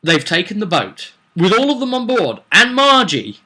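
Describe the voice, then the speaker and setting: A man with a bright, forceful voice that sits a little high tells the story of his tabletop game as its game master. He is chatty and informal, rambling along like a vlogger and often tossing rhetorical questions to the listener. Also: very animated, moderate pace. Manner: chatty, slips into acted quotes of characters. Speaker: game master recounting a tabletop roleplay session. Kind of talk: casual rambling vlog storytelling.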